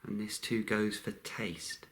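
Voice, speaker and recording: Slow, low-voiced male meditation guide. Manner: calm, unhurried, long pauses between phrases.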